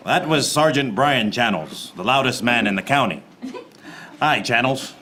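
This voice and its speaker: An actor playing a wisecracking, hard-boiled private eye, narrating a noir parody radio play in a deadpan, wry way. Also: male voice, mid-range voice, a little slow. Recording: noticeable background noise.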